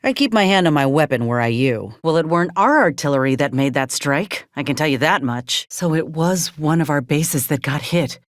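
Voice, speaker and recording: A woman's voice: low voice, lively intonation, moderate pace, few pauses, no room sound, studio quality. A woman voicing a plainspoken, wry frontier companion in a video game, with a folksy Southern American drawl. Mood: grim, uneasy.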